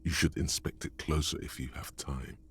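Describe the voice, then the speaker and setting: An actor voicing a game's narrator, an inner voice that addresses the player with wry, goading remarks in a deep, husky tone. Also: male voice, fairly even intonation, moderate pace, exaggerated voice. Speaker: game narrator voice. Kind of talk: scripted game narration.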